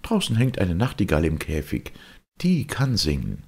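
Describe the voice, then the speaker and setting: A man's voice: low voice, huge pitch swings, normal speed, gravelly, breathy edge, heavily acted. A man voicing a proud, snobbish old quill pen from a storybook, haughty and dismissive.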